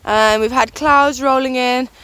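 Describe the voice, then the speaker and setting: A woman's voice: high-pitched, fairly even intonation, measured pace, pushed and bright, smooth. A young woman chatting casually to her camera while wild camping on a mountainside, laughing often. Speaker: vlogger. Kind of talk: casual outdoor vlog narration. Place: outdoors on a mountainside by a lake. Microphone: handheld camera selfie.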